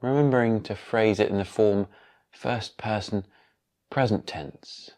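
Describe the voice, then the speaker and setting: Male meditation guide, lowish voice, calm and unhurried, leaving long pauses between instructions. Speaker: yoga nidra meditation guide.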